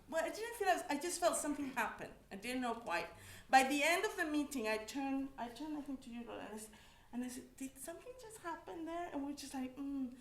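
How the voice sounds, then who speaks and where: A woman's voice: sits high, fairly bright tone, a little hiss or hum. A woman from Mexico, an academic on a panel, speaking reflectively with frequent restarts. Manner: reflective, halting, many restarts. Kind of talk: personal testimony on a panel. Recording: echoey room.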